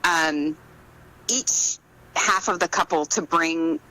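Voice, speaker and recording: A woman's voice: low voice, slow, pushed and bright, a little hiss or hum, poor audio. A woman being interviewed as an author, talking casually and reflectively, in an earnest, explanatory way.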